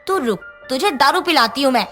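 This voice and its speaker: A woman's voice: high-pitched, animated, fairly quick, bright, forceful tone, faint music behind. A woman playing a put-upon young daughter-in-law in a cartoon moral story, dramatically voiced. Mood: fierce, vengeful. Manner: dramatic, swings from meek pleading to menace. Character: put-upon young daughter-in-law, tearful, turning fierce when possessed.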